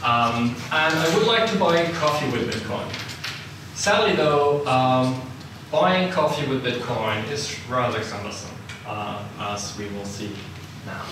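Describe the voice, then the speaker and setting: A man's voice: mid-range voice, a little slow. Young Swiss man lecturing in an echoey room. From Italian-speaking Switzerland. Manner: relaxed and self-deprecating, many ums and uhs. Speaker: seminar speaker. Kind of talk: technical lecture to a live audience. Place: lecture room with a projector screen. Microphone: far room camera, no visible mic. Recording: echoey room, distant mic, background noise.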